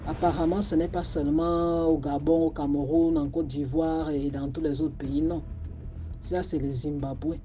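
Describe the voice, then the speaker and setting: A woman's voice: low voice, fairly even intonation, normal speed, warm tone. A woman hosting a story show, commenting on a listener's story and earnestly giving advice to the audience. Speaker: host.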